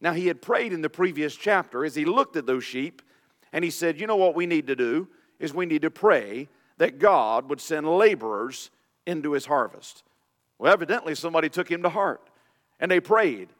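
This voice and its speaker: A man preaching a sermon as pastor, his deep voice raspy and hoarse from illness, reading scripture with informal asides. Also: animated, normal speed. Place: church sanctuary. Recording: long reverb of a church hall.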